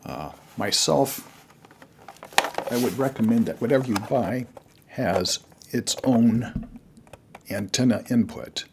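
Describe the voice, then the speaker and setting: An older man giving casual, unscripted how-to advice to beginners as a hobbyist, in a halting, rambling way with frequent pauses. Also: mid-range voice, lively intonation, gravelly, noisy background.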